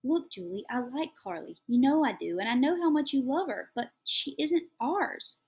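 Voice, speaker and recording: A woman reading the part of a steady, tired father in an audiobook, calm and soothing. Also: high voice, moderate pace, breathy edge, lo-fi sound, pitched above the performer's own voice, heavily acted. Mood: worried. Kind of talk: audiobook character voice.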